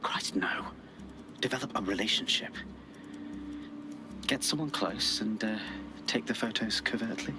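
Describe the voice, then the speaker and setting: An actor playing a weary, fearful intelligence operative in a video game, speaking in a hushed, halting, grave way. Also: male voice, lowish voice, close to an everyday voice. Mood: grave, guarded. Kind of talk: naturalistic video game acting. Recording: music behind the voice.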